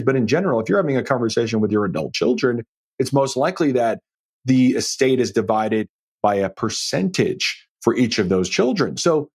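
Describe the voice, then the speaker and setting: A man's voice: medium pitch, a little mellow, breathy edge. A man hosting a financial advice podcast, talking informally and directly to the listener as he explains his points. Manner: informal, direct address with frequent checking tags like "okay?" and "right?". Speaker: financial advisor and podcast host. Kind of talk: conversational advice podcast monologue.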